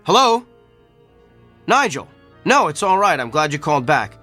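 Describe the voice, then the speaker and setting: An arrogant celebrity doctor in a radio play. His voice is high and forceful, with animated, self-important phrasing over background music. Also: moderate pace, exaggerated voice. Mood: eager. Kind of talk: theatrical radio drama acting.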